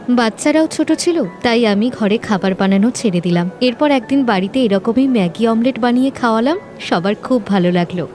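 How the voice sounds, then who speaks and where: A woman's voice: on the high side, a little mellow, close to an everyday voice. A woman voicing a humble, hardworking street-seller mother in a moral-story cartoon, polite and plain-spoken.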